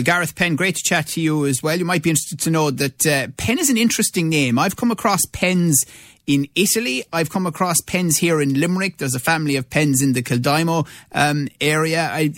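A man's voice: a little high, fairly quick, dry recording. A male Irish radio host interviewing guests in a jokey, chatty way. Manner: jokey, chatty, lively questions.

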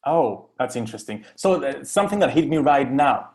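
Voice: medium pitch, animated, fairly quick, a little mellow. An interview host chatting casually and guiding a guest from one topic to the next.

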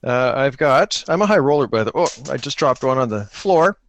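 A man's voice: mid-range voice, expressive, moderate pace, slight background noise. A man chatting casually as a podcast guest, with a joking, mock-serious manner. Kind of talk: casual chat.

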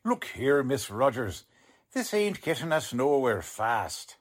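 Gruff impatient cop lieutenant, drawn out slangy talk, old radio play style. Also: male voice, medium pitch, very animated, slow. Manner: slangy, ungrammatical tough talk. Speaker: police lieutenant. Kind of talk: 1940s radio drama acting.

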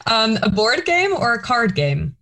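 Woman firing off quick interview questions. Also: on the high side, sing-song, a loud crowd. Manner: brisk rapid-fire questions, friendly reactions. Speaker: host, interviewer. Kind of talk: casual interview chat.

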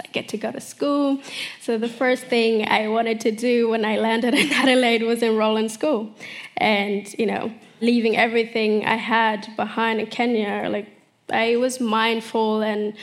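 A woman's voice: a little high, vocal fry. A young woman from South Sudan, answering interview questions in an earnest, reflective way, often saying "you know". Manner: earnest, uses many "you know" fillers. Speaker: interview guest, model.

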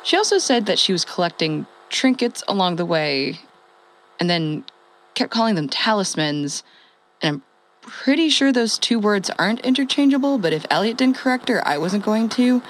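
An actress playing a wry, sweary former radio host who survives the apocalypse, telling her day in a halting, conversational audio-drama monologue. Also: mid-range voice, animated, slight background noise, lightly acted, poor audio. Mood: incredulous, wry. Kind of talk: conversational comedic monologue, as a ham radio log.

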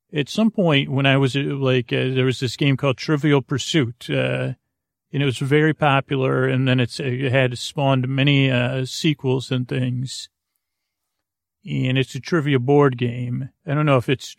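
Creaky gravelly guy doing a rambly sleep podcast, hesitant, wanders off on tangents, real dry close mic. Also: mid-range voice, no echo. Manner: hesitant, meandering, full of tangents. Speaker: podcast host. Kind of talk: rambling improvised bedtime monologue.

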